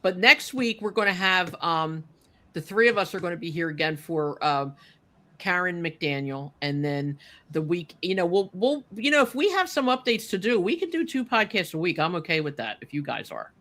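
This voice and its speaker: A woman's voice: lowish voice, animated, normal speed, a bit bright. A woman in her late sixties, hosting a casual chat podcast from her kitchen, chatty and teasing, laughing easily. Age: 60s. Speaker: podcast host. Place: home kitchen.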